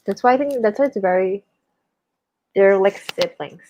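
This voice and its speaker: A woman's voice: mid-range voice, moderate pace, background noise. A young woman from Malaysia hosting a casual book discussion, thinking aloud with many restarts and hesitations. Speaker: book club host. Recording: slightly dull lo-fi sound.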